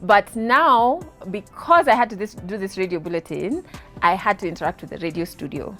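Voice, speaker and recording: Animated Kenyan woman telling a story. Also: mid-range voice, normal speed, soft background music. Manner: animated, laughing, mixes in Swahili. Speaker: guest. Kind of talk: casual storytelling interview.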